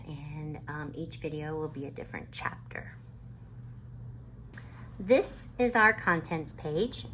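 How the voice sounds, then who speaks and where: A woman's voice: lowish voice, fairly bright tone, slight background noise, low-quality recording. A woman hosting a children's storytime and reading a chapter book aloud. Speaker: storytime host and reader.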